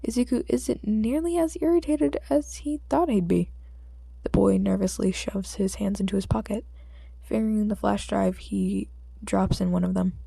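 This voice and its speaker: Husky, creaky woman narrating fiction. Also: mid-range voice, normal speed, mellow, dry recording. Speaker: audiobook narrator.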